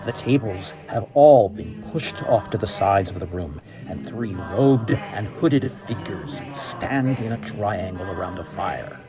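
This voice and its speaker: A man's voice: sits low, expressive, unhurried, hardly any pauses, over loud music. A man narrating a radio play as scripted drama, in a theatrical manner.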